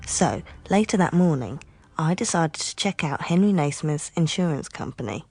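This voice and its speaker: A woman's voice: sits low, animated, normal speed, a little mellow, slightly rough, soft background music, heavily acted. An English actress playing a tough, sardonic female private investigator in a radio drama, with dry delivery. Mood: confiding, wry. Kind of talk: radio drama acting with first-person narration.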